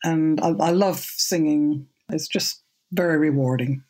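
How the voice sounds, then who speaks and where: A woman in her seventies with a low speaking voice, speaking as a guest on a podcast. She talks in a measured, thoughtful way and often repeats a word as she restarts a sentence. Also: darkish tone. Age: 70s. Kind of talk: casual podcast interview.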